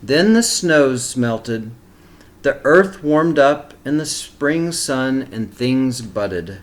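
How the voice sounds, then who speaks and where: A man with a mid-pitched voice reads a storybook aloud as a teacher would for kindergarteners. He narrates the tale and steps out of it with simple explanatory asides, speaking directly to young listeners. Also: lively intonation, very slow. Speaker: teacher reading a picture book aloud to kindergarteners.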